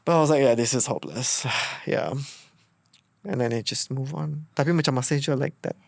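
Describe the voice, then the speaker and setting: A man's voice: medium pitch, fairly quick, gravelly, no room sound. A man in his thirties with a Bruneian accent, telling personal stories informally as the guest of an interview, often acting out his own past thoughts. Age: 30s. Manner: informal, animated retelling with quoted thoughts. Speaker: podcast host being interviewed. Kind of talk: casual personal storytelling in an interview.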